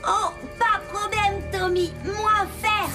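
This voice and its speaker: Childlike cartoon robot, very high voice, naive and sweet, broken simple phrases, unhurried, music behind. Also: little pitch movement, exaggerated voice. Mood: helpful. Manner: broken simple French in the third person. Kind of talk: animated cartoon dub. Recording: music under the voice.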